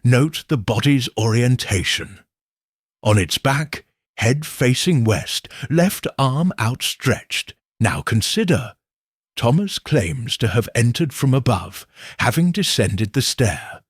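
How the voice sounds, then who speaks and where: A man voicing a shrewd, calm Victorian detective who reasons in a measured, precise way, in a husky voice. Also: medium pitch, dry recording, studio quality.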